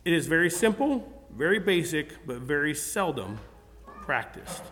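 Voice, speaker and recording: A high-voiced man gives a sermon in an earnest, conversational way, often stopping to restart his sentences. His voice rings out with a long reverb behind it. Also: sing-song, measured pace, fairly bright tone. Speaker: preacher.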